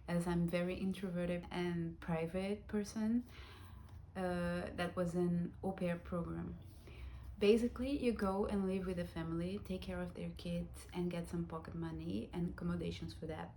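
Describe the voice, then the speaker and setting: A woman in her thirties from Brazil, telling her own life story in a reflective, soft-spoken vlog, with Portuguese as her first language. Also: lowish voice, slight background noise. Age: 30s. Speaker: vlogger.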